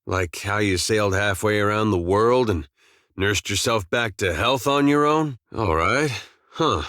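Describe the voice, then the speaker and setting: Low-voiced, folksy, wry farmer adventurer. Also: male voice, measured pace, a bit bright, dry recording. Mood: wry, concerned. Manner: folksy, dry understated humor, plain-spoken. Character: laid-back, wry farmer turned adventurer, good-natured young-to-middle-aged man. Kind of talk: scripted video game dialogue.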